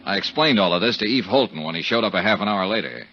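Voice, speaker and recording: An actor playing a tough, shrewd insurance investigator with a sardonic, probing manner, in 1950s radio drama style. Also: male voice, fairly low pitch, animated, quick pace, bright, forceful tone. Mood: dry, terse. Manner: sardonic, probing, hard-boiled. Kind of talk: 1950s radio drama acting and first-person narration. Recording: dull 1950s radio recording.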